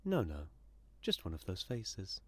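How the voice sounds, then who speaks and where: A man acting an odd, gently persistent institute worker in an audio drama, husky and measured, slightly awkward. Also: deep voice, wildly swooping pitch, dry recording, heavily acted. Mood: evasive, casual.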